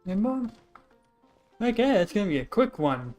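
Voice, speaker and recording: A woman's voice: mid-range voice, very animated, moderate pace, dark tone, faint music behind. A woman chatting casually as she streams a video game. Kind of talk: casual gameplay chat.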